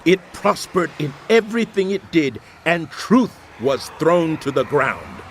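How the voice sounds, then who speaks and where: A man's voice: on the high side, very animated, a little slow, slight background noise. A man playing a troubled prophet, recounting visions in solemn, dramatic scripture narration. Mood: intense, dramatic.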